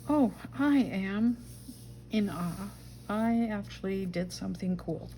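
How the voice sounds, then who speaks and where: A middle-aged woman with a Dutch accent talks through her crafting at an unhurried pace, musing aloud and slipping into soft murmurs. Her voice sits at an ordinary middle pitch. A faint hiss of room noise stays under her voice. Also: poor audio. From Netherlands. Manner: chatty, talks to herself while working, soft murmurs and whispers. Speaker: junk journal crafter. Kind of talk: casual craft-along narration.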